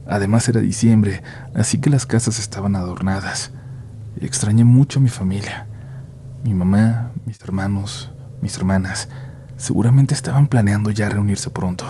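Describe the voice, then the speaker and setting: A man narrating a first-person horror story in a hushed, measured way, sometimes dropping to a near-whisper. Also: lowish voice, a little hiss or hum.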